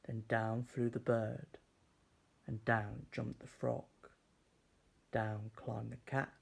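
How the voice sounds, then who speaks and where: A man reading a children's story aloud as storyteller, deliberately and with dramatic pauses. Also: medium pitch, flat delivery, creaky, slightly rough.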